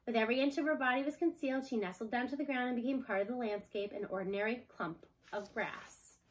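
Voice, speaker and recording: A woman reading a children's book aloud as an expressive scripted narration. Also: on the high side, fast, low-quality recording. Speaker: storybook reader.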